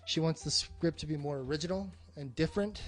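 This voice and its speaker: A man's voice: fairly high pitch, fairly quick, soft background music. A man chatting casually about film as a podcast co-host, blunt and freely swearing.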